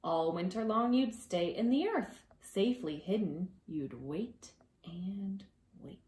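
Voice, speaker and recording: A woman reading aloud to preschoolers as their teacher, expressive and gentle, drawing out words and adding conversational asides. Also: mid-range voice, roomy sound. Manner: gentle, deliberate, drawing out words for young listeners.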